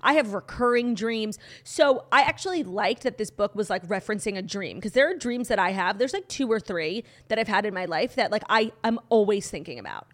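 A woman co-hosting a podcast in casual chat, her voice a little hoarse, talking in a gushing rush full of "like". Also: mid-range voice, expressive. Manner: gushing, fast, heavy use of "like". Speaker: podcast co-host.

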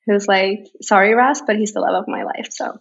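A woman's voice: medium pitch, fairly quick, vocal fry, muffled recording. A woman in her twenties from Sweden, speaking English with a Swedish accent as a podcast guest, bubbly and giggly, chatting casually from home. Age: 20s. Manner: bubbly, giggly, loose and informal. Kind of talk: casual chat. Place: home, over a video call.

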